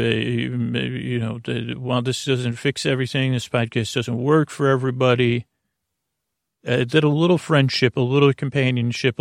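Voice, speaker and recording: Creaky-voiced man rambling for a sleep podcast. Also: mid-range voice, no echo, studio quality. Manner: halting, meandering, stammering and repeating words; reassuring, companionable. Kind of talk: rambling, whimsical bedtime monologue.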